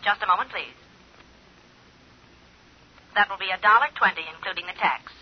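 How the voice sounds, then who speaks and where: An actress playing a polite, impersonal long-distance operator, by-the-book, heard through a telephone filter in a 1950s radio drama. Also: female voice, medium pitch, normal speed, husky. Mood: formal, impersonal. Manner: formal, by-the-book. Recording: muffled, noisy old radio, telephone sound.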